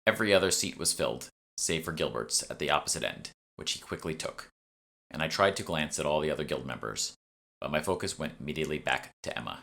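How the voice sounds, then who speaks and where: A man's voice: extremely low pitch, expressive, fast talker, vocal fry, pushed and bright. A man reading fantasy fiction as a scripted audiobook narration into a close microphone. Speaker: author reading own audiobook. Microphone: close USB desktop microphone.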